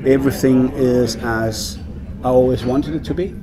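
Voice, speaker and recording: A man's voice: medium pitch, fairly even intonation, moderate pace, crowd noise, low-quality recording. A Danish man working as a whisky brand ambassador, speaking English in a casual interview, with many fillers and repeated words. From Denmark.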